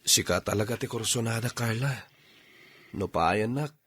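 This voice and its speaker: A man plays an earnest suitor in an Ilocano radio drama. His voice is low and husky, with an Ilocano accent and a dry, close sound. He is coaxing and persistent. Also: normal speed, a bit bright, dry recording. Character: earnest male suitor courting a middle-aged woman. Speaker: radio drama host and actor.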